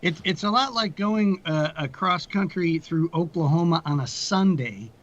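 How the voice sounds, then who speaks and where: A man with a slightly high-pitched voice chats as a creative guest in an interview. He rambles along in a wry, folksy manner, and the sound is a bit dull and low in fidelity. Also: moderate pace, fairly bright tone. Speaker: guest, audio drama creator. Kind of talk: casual conversational interview.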